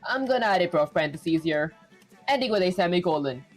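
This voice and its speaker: A woman streaming herself programming, chatting casually and thinking out loud with lots of "like" fillers. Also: fairly low pitch, animated, a little fast, soft background music. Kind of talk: casual live coding stream.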